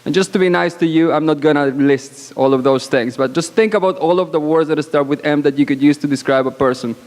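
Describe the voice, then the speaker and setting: A woman born abroad speaks as a panelist at a conference, with a loud voice and a frank, assertive, deliberate delivery broken by pauses, into a microphone in a banquet hall. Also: deep voice. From born outside Canada. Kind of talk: conference speech. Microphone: microphone at podium. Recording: long hall reverb.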